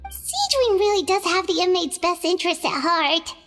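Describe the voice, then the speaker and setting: Squeaky, swooping childlike sprite sidekick. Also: female voice, normal speed. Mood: curious, chatty. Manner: exclamatory, expressive. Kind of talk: video game voice acting. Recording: music behind the voice.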